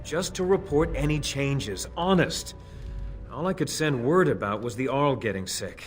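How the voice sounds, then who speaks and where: A man's voice: mid-range voice, expressive, a little slow, natural voice. An actor playing a frightened man caught spying, stammering and pleading. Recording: game audio over loud music. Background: game music.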